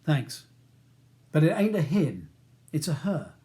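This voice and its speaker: Man voicing a low, urgent cockney gangster. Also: sing-song, mellow. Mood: coaxing. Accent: London. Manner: low, fast, confidential, colloquial. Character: cockney gangster prisoner around thirty, urgent and wheedling. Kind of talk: audiobook character dialogue.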